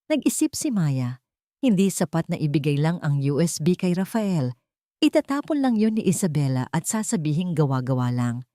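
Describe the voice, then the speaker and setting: A woman's voice: low-pitched, sing-song, moderate pace, warm tone, dry recording, studio quality. A woman narrator reading a dramatic scripted story.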